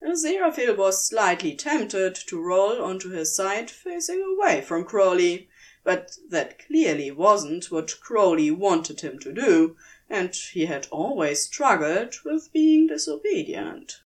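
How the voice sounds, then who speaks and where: Slow, expressive woman narrating fiction. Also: mid-range voice.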